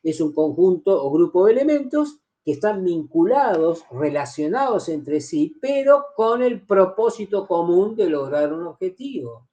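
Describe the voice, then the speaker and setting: Lively male lecturer. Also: sits high, measured pace, few pauses, dull audio. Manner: explanatory, repeats key phrases, asks students rhetorical questions. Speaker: university lecturer. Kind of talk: university lecture.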